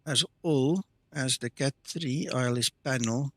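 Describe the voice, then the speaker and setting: A man's voice: mid-range voice, unhurried, no echo. A man whose first language is not English, hosting a live flight simulator stream in a casual, chatty way and greeting viewers by name. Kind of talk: live stream chat, reading and answering viewer comments.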